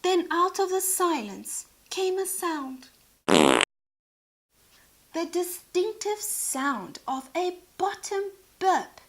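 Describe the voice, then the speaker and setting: A woman narrating a children's storybook in a dramatic, expressive way. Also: very high-pitched, slow. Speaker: storybook reader.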